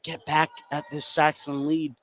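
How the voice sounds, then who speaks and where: Higher-voiced male basketball color commentator. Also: monotone, measured pace, fairly bright tone, slight background noise. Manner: conversational, explanatory asides. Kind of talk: live basketball analysis. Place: indoor high school gym, courtside.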